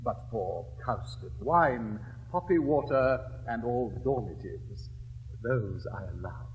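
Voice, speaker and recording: An actor playing an elegant, witty gentleman suitor in a classic stage comedy, speaking in a crisp, measured, declamatory way. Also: mid-range voice, lively intonation, dull audio. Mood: wry. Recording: reverberant old recording, faint hiss.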